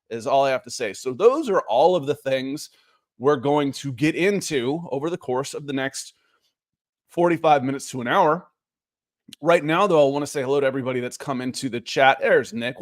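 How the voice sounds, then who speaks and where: Male podcast host, chatty and animated, mid-pitched, dry close sound. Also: dry recording. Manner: chatty, animated, reads chat messages aloud. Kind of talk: casual sports podcast chat.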